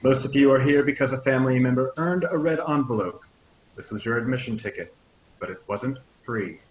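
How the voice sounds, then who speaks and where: A man voicing a warm, commanding captain in his fifties, giving a measured speech in a table read. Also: medium pitch, lightly acted. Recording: echoey, muffled, hissy audio.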